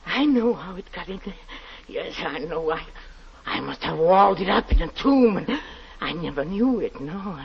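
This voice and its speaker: An actor playing a guilt-haunted, gloating wife-killer in 1940s radio horror style, halting and stammering. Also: male voice, high voice, expressive, vocal fry. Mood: horrified raving. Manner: halting, stammering, with nervous chuckles and repeated words. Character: guilt-haunted man, a wife's murderer, unhinged and gloating. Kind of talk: 1940s radio horror acting, first-person narration. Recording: muffled old 1940s radio recording.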